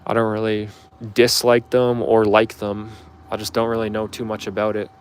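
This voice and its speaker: A man's voice: lowish voice, monotone, moderate pace, slight background noise. A man in his twenties chatting casually on a livestream, answering viewer questions in a rambling, offhand way full of fillers. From Canada. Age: 20s. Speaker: livestream host.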